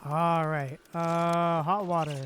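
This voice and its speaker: A laid-back streamer with an androgynous mid-range voice comments casually on a game. The talk is slow and half muttered to themself, over faint background noise and clicks. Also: mid-range voice, flat delivery, a bit bright.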